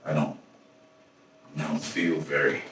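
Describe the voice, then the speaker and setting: A man's voice: rumbling low, flat delivery, a bit bright. Anguished, weary lover in an echoing room, acted by a man. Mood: pleading, broken. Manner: halting, broken phrases with long pauses and repetitions. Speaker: stage actor. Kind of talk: theatrical staged reading of a dramatic monologue. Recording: roomy echoing stage, distant mic, faint noise.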